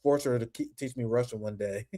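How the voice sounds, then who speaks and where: A young man hosting a casual political commentary stream, animated and profane, laughing often. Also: medium pitch, normal speed.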